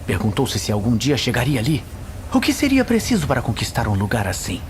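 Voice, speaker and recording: A man's voice: medium pitch, wildly swooping pitch, fairly quick, noisy background. A man with a Brazilian accent narrating a scripted fantasy audio series in a dramatic style.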